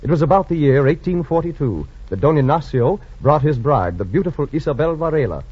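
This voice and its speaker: A man's voice: a little high, expressive, fairly quick, breathy edge, no room sound. A man narrating a scripted story in a dramatic 1940s radio style. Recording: dull vintage radio recording with music.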